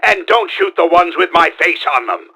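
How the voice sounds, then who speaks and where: A man playing a pompous, scheming middle-aged mad-scientist villain in a video game, with a gravelly, forceful, theatrical delivery. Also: a little high, animated, normal speed, exaggerated voice. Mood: boastful showman. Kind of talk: exaggerated video game voice acting.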